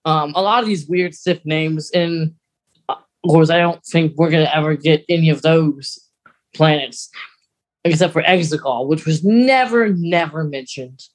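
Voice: medium pitch, normal speed, rough recording. A podcast co-host explaining things in a casual, conversational style.

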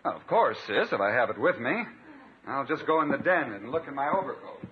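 A man's voice: medium pitch, big pitch swings, fairly quick, natural voice. A man playing an ingratiating, hesitant freeloading brother in a 1950s radio comedy. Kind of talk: 1950s radio sitcom acting. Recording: dull 1950s radio recording, audience, noise.

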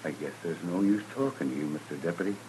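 Low-voiced insolent western gunslinger played by a man. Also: fast talker, natural voice. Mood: taunting, menacing. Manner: taunting, mock-polite. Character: insolent, menacing drawling gunslinger from a cow town. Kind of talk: old time radio drama acting. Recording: hissy vintage radio recording.

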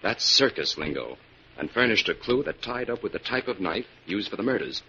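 An actor playing a confident, shrewd crime-fighting investigator in an old-time radio drama, explaining things in a crisp, declarative way. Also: male voice, on the low side, very animated, brisk, pushed and bright. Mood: assured explaining. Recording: dull, noisy old radio recording.

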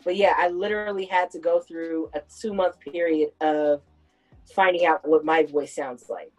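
Woman with a lowish voice, reflective. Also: fairly even intonation, moderate pace, soft background music, rough recording. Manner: reflective, explains at length. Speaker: podcast host. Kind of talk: casual conversational chat.

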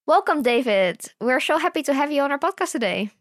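A woman co-hosting a science podcast, reading the intro and asking the guest clear, welcoming questions. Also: high voice, lively intonation, brisk, dry recording. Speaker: podcast co-host. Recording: dry close recording, faint music.

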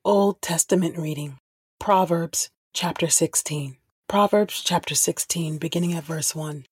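Low-voiced woman reading scripture, husky and dry. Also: measured pace, a little mellow, dry recording. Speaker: podcast host and scripture reader.

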